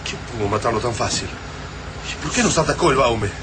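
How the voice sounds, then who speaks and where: A man's voice: medium pitch, expressive, moderate pace, background noise, close to an everyday voice. A man with an Argentine Rioplatense accent playing a passionate, anguished family man surviving an invasion in a radio drama. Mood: shaken.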